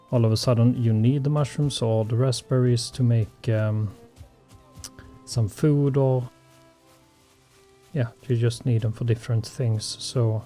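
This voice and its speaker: A Swedish-born man talks through his survival gameplay at an unhurried pace, pausing often on ums and uhs. His voice is mellow and mid-pitched. Faint game music plays underneath. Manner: slow, hesitant, many fillers. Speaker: gaming channel host. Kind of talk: relaxed gameplay commentary.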